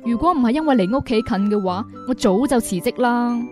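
Actress as overworked young female office worker, radio drama, higher pitch, grumbling and sighing to herself. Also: lively intonation, fast talker, faint music behind, lightly acted. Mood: weary, grumbling. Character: weary, grumbling young female office clerk working overtime. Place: radio studio.

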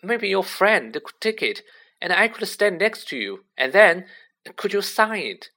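A man acts out an eager little schoolboy in a storybook. He is high-pitched and lively, gushing in awe as if short of breath with excitement. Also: unhurried, heavily acted. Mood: eager, awestruck. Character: small, eager, awestruck schoolboy fan with a camera.

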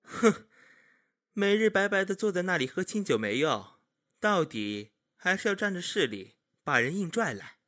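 An actor playing a sharp-tongued, sardonic young male retainer in a Chinese period audio drama. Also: high voice, big pitch swings, moderate pace, full character voice. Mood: mocking. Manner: mocking, sardonic. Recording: loud background music.

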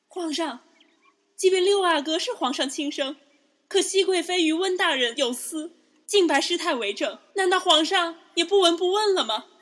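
A woman playing a haughty, jealous imperial concubine in a Chinese palace radio drama, loud, emphatic and accusing. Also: very high-pitched, animated, moderate pace.

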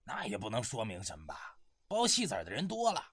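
Brief: male actor as sneering, greedy intelligence deputy, rough bright voice, animated, dry recording, period radio drama. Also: fairly low pitch, fast, no echo, exaggerated voice. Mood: skeptical. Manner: blunt, mocking.

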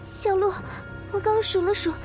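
A woman's voice: very high-pitched, steady tone, moderate pace, over music. A woman playing an earnest, emotional young caretaker of children in a zombie audio drama. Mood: worried. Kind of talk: Chinese fan audio drama acting.

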